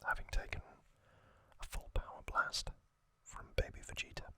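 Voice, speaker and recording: A man whispering an ASMR recap as host, in a hushed, deliberate way with short phrases and gaps.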